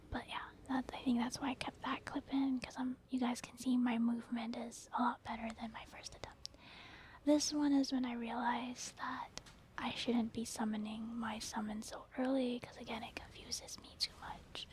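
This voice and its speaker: A woman whispering breathy, casual ASMR commentary as she explains her gameplay. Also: on the high side, darkish tone. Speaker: ASMR game streamer. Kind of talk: soft-spoken ASMR game commentary.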